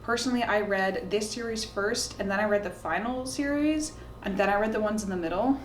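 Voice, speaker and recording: A woman talking casually and informally to camera, giving opinionated recommendations in a chatty way. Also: a little fast. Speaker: book reviewer, video host. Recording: roomy echo, faint background noise and rumble.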